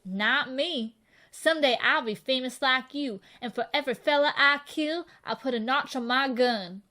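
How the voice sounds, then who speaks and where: Woman as a cocky, high, bright runaway frontier boy. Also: normal speed. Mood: boastful. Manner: rustic, boastful, folksy drawling grammar. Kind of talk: old-time western radio drama acting.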